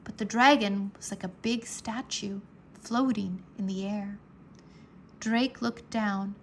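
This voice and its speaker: A woman narrating a children's adventure story aloud in an expressive, measured, dramatic storytelling manner. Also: mid-range voice, a little hiss or hum.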